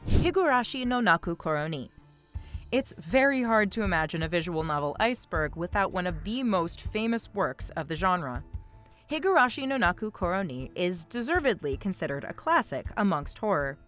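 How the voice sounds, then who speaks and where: Woman doing scripted video essay voiceover, lively intonation, clear explaining, music underneath. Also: fairly low pitch, normal speed. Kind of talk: scripted narration. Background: background music.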